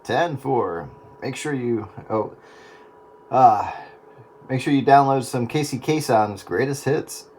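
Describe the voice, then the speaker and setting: A man's voice: medium pitch, takes it slowly. A man in casual radio chat, hesitant and often repeating his words. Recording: noisy radio transmission.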